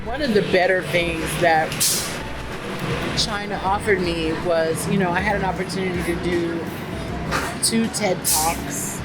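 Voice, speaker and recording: A woman's voice: lowish voice, fairly even intonation, a little slow, over loud music, poor audio. A woman entrepreneur telling her stories with energy and confidence in a casual interview. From United States. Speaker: guest entrepreneur.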